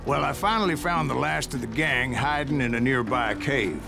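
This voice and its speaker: An actor playing a weathered older gunslinger with an American Western drawl, telling his tales of revenge in a wry, deliberate way for a video game. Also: mid-range voice, natural voice. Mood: wry storytelling. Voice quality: gravelly. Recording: music behind the voice.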